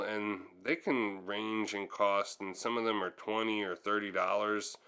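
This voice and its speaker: Male tutorial host for hands-on repair narration; lower register, smooth clear tone, informal delivery. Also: bright, forceful tone. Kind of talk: hands-on how-to narration, casual.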